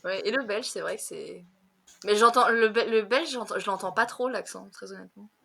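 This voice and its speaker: Giggly fast-talking young woman tutor. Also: medium pitch, creaky, sharp tone. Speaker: French conversation tutor. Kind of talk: casual conversation lesson.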